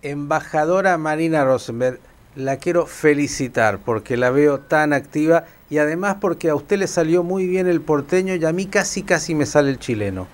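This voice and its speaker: A man's voice: a little high, lively intonation, moderate pace. A man hosts a conversational interview in a courteous, familiar and complimentary manner. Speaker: interviewer, host.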